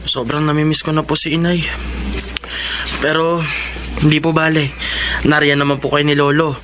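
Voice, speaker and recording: An actor playing an earnest, dutiful high school boy in a Filipino radio drama, speaking politely. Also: little pitch movement, normal speed, natural voice. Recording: noisy radio drama recording.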